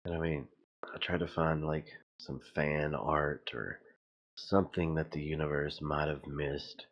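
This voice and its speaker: A man with a very deep voice talks slowly through a casual, rambling commentary. He sounds puzzled and insistent as he makes his point. The recording is slightly dull and lo-fi. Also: steady tone. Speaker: video host.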